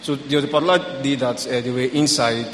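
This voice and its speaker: A young man sharing about his family in a relaxed, halting way with fillers. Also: medium pitch, slight background noise. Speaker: panel participant. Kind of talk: casual sharing. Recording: echoey hall with faint ambience.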